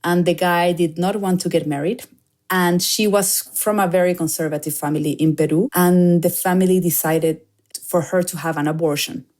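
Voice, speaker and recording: Woman guest, 30s, Chilean Spanish accent, lowish mellow voice, reflective storyteller. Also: steady tone, normal speed. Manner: reflective, with frequent "like" fillers. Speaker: guest filmmaker. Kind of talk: personal storytelling in an interview.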